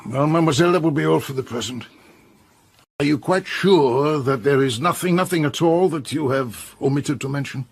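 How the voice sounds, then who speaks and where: An actor playing a brisk, courteous French police inspector in an audio drama, official and businesslike. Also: male voice, a little high, gravelly, breathy edge. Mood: official questioning.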